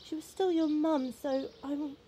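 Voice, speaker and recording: A woman's voice: high-pitched, steady tone, moderate pace, dark tone, a little hiss or hum, lightly acted. A woman in an audio play acting an outspoken, exasperated old flame, forthright and sardonic. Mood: frank, coaxing.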